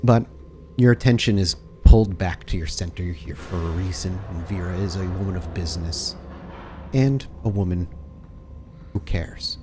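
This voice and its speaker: A man narrating a tabletop horror game as storyteller, with dramatic, deliberate scene-setting. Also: sits low, noisy background.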